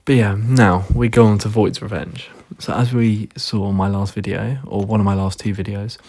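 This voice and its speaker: A man with a hoarse, fading voice, giving tired, laid-back gameplay commentary full of ums. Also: fairly low pitch, fairly even intonation, moderate pace, a little mellow. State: hoarse, losing his voice; tired, yawning late at night. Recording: noisy recording with clicks.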